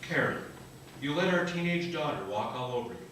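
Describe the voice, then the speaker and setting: An exasperated husband and father played by a man makes his firm, scheming case in a slightly high voice. It is radio comedy in a reverberant, noisy theater. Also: lively intonation, a little fast, a bit bright. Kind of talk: stage radio comedy acting. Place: live radio theater stage. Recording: echoey theater, far mic, noisy.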